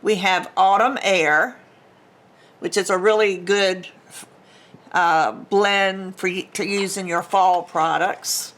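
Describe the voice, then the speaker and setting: An older woman with a bright, clear tone and a slightly stuffy, congested nose. She talks slowly and chattily, wandering off track and restarting sentences. Recorded at home on a camera mic that sometimes catches her from farther away. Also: medium pitch, fairly even intonation, a little hiss or hum. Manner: chatty and rambling, with restarts and small laughs. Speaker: vlogger unboxing a craft subscription box. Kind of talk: casual unboxing chat.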